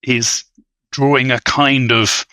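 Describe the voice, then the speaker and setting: A man speaking as an expert guest in an academic discussion, hesitant and scholarly, often pausing to rephrase. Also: medium pitch, steady tone, bright, forceful tone, no echo. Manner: halting, hedging, scholarly, frequent "you know". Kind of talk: academic discussion on a film podcast.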